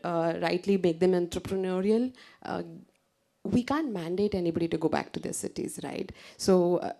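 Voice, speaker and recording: A woman's voice: mid-range voice, warm tone. A woman speaking on a panel from a human resources point of view, in a direct, conversational way. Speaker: panelist, HR professional. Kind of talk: panel discussion.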